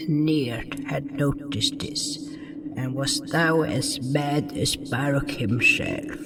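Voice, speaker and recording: A man's voice: mid-range voice, slow pace, over a noisy crowd. A man narrating a scripted first-person fantasy tale as a solemn storyteller. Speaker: narrator and writer. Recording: distant voice, music underneath.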